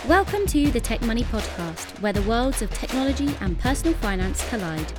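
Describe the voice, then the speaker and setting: A woman announcer reads a podcast opening with a bright, lively, up-and-down delivery. Clear music plays under her voice throughout. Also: a little high, brisk. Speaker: show intro announcer. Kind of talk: scripted show introduction. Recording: voice over music bed.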